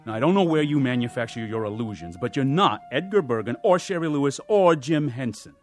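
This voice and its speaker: An actor playing a blunt, skeptical, exasperated talent agent in a radio play. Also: male voice, medium pitch, lively intonation, brisk, soft background music, close to an everyday voice. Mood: reproachful.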